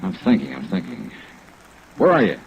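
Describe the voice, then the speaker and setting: An actor playing a wry, weary, persistent insurance investigator in an old radio drama, with clipped hard-boiled delivery. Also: male voice, deep voice, huge pitch swings, moderate pace, vocal fry, slight background noise, full character voice. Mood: weary, stubborn. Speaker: lead detective and narrator. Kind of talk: 1950s radio drama acting, first-person narration. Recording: dull, band-limited old radio recording.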